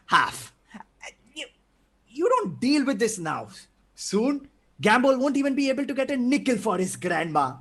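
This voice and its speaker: A man playing a manic, menacing criminal clown in a live table read, taunting with drawn-out words and cackles. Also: extremely high pitch, very animated, full character voice.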